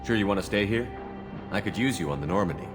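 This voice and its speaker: A man playing a steady, supportive spaceship commander in a video game, calm and direct. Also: lowish voice, quick pace, natural voice. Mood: questioning, concerned. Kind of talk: scripted video game voice acting. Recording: long reverb, music behind.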